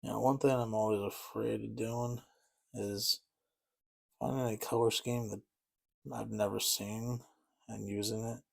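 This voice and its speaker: A man's voice: fairly low pitch, flat delivery, a little slow, vocal fry, pauses often. A man chatting casually and rambling a bit as he paints miniatures, easygoing and self-deprecating. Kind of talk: casual chat while painting.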